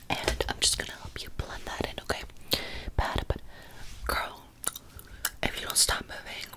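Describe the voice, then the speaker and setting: A woman whispering as a snobby, impatient mean girl in an ASMR roleplay, curt and condescending in a breathy voice. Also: natural voice. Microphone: close desktop condenser microphone.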